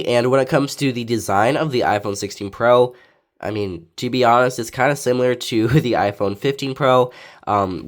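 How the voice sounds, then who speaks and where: Raspy-voiced man reviewing a phone. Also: fairly low pitch. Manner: rambling, filler-heavy, laid-back. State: raspy from allergies. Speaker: tech reviewer. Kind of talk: casual tech review.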